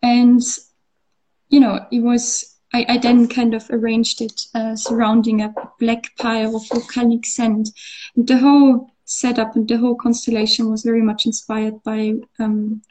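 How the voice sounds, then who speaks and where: Hesitant soft-toned woman from Austria. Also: a little high, flat delivery, normal speed, low-quality recording. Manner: hesitant, many fillers and restarts. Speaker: artist interviewee. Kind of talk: conversational interview.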